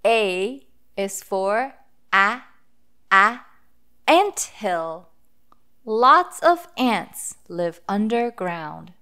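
Slow-paced woman reading to children. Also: medium pitch, lively intonation, pushed and bright, clean tone. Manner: deliberate, sounding out letters and words for children. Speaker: host of children's read-aloud phonics lesson. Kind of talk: children's picture book read-aloud with phonics teaching.

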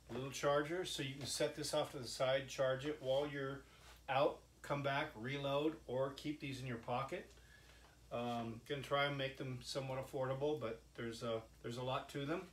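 A man's voice: mid-range voice, a bit bright. Older man in a noisy, echoey workshop. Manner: halting, folksy, informal. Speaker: inventor demonstrating a homemade product. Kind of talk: casual product demonstration. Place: indoor cluttered home workshop. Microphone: camera mic a few steps away. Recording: echoey workshop with background noise.

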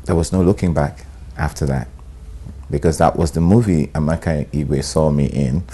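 Middle-aged Nigerian man, very low voice, warm dark tone, lapel mic, hesitant interview talk. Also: normal speed, slightly rough. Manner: relaxed, hesitant with many ums and you knows. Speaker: actor. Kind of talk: sit-down interview monologue. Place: bright studio room, indoors.

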